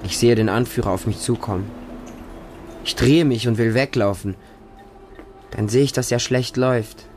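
A man plays a hardened homeless street boy telling his story in a radio drama. The sentences are short, plain and stark, the pitch middling for a man, with faint music around the voice. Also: moderate pace, pauses often, darkish tone, lightly acted. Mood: desolate. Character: homeless Russian street boy living among dogs, bleak, hardened. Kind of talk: first-person radio drama narration.

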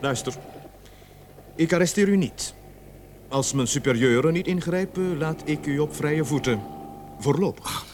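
An actor playing a stern police sergeant in a radio play, speaking formally and with measured authority. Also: male voice, a little high, a little mellow, slight background noise, close to an everyday voice. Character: stern police sergeant leading a murder investigation.

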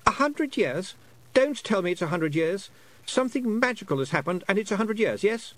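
A man's voice: sits high, sing-song, normal speed, fairly bright tone, no room sound, pitched above the performer's own voice. A man reading aloud, voicing an anxious, chatty twelve-year-old boy who rambles and hesitates.

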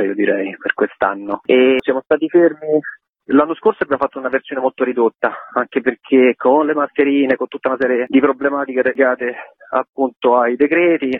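A man's voice: mid-range voice, slightly rough. A man chatting informally in a radio interview as an event organizer, in loosely strung phrases. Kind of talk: casual conversational radio interview. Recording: tinny, phone-like radio interview line.

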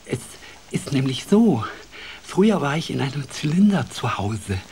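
Ein Mann, der in einer Kindergeschichte ein schüchternes, lispelndes Kaninchen mit stockender, zaghafter Art spricht. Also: male voice, medium pitch, sing-song, darkish tone, a little hiss or hum, pitched above the performer's own voice. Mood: shy, proud. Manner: lisping, timid, halting. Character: shy, stammering rabbit, meekly proud.

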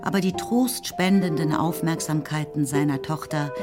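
A woman narrating a radio play in a measured, even storytelling manner. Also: deep voice, over music.

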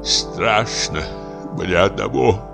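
A man's voice: medium pitch, takes it slowly, pitched above the performer's own voice, lightly acted. A man voicing a drunken, lonely comic actor of fifty-eight, frightened and maudlin, lamenting in a feverish, breathless, theatrical way. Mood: desolate, maudlin. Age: 50s. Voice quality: breathless, trembling. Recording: music under the voice. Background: music.

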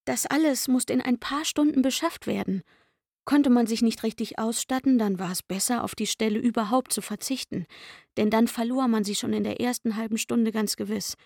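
A woman narrating a literary radio drama with a husky, breathy voice and a knowing, catty manner. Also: fairly high pitch, normal speed, a little mellow, no room sound, studio quality.

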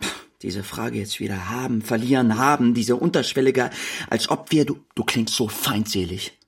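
A man's voice: medium pitch, moderate pace, gravelly, breathy edge. An actor playing a worried, earnest male writer in a German radio play, with a hesitant, searching and pleading delivery.

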